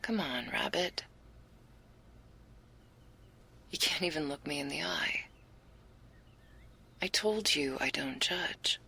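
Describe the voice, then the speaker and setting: A woman playing a laid-back, teasing but caring stoner werewolf girl in an intimate ASMR roleplay, breathy and often near a whisper, with casual crude slang. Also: sits low, sharp tone, full character voice. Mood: gentle, coaxing.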